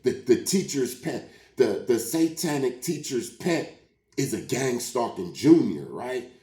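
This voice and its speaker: A man's voice: medium pitch, lively intonation, a little slow, dark tone, echoey room. A man with a sore throat from a cold, giving an emphatic, preachy monologue and often repeating himself. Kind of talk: casual rant to camera.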